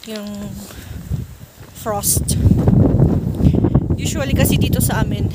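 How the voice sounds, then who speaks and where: A woman in her forties from the Philippine highlands, chatting casually in a walking vlog on a foggy mountain road, her phone held at arm's length. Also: takes it slowly. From Benguet, Philippines. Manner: chatty, mixes English into Tagalog, talks while walking. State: slightly out of breath from walking. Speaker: vlogger. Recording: outdoor phone recording with clear background noise.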